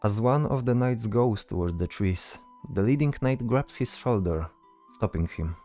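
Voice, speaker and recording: A man narrating a scripted fantasy story as storyteller, delivering it deliberately in short phrases. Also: lowish voice, expressive, dark tone, soft background music.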